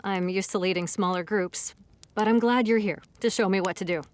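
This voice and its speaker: A woman's voice: medium pitch, brisk, close to an everyday voice. An actress playing a dutiful female army captain in an audio drama, respectful, modest and soldierly in her speech.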